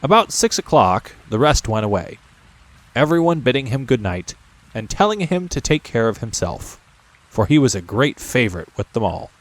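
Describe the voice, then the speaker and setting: A man's voice: medium pitch, very animated, moderate pace, dry recording, rough recording. A man narrating a classic storybook as a scripted audiobook reading.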